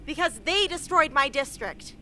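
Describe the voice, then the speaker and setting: A woman's voice: sits high, expressive, normal speed, bright, forceful tone, heavily acted. An actress playing a defiant, guarded young woman rebel fighter in an amateur radio play, speaking in a blunt, sardonic way. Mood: indignant. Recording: noisy amateur recording.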